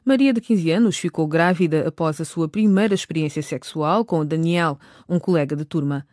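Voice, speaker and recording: A woman's voice: deep voice, moderate pace, a little mellow, no echo, clean studio sound. A woman reading scripted narration for a radio drama as its narrator.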